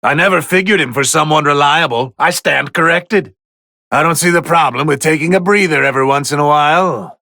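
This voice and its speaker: A man playing a haughty, bored god of destruction who commentates on video game fights in a condescending, sardonic, theatrical drawl with a gravelly edge. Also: a little high, brisk, pushed and bright, no room sound, studio quality. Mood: detached, critical. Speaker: match commentator.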